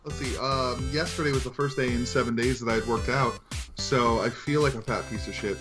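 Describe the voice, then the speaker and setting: A man's voice: medium pitch, steady tone, a little fast, over music. A man co-hosting a podcast in casual chat, joking and self-deprecating. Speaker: podcast co-host.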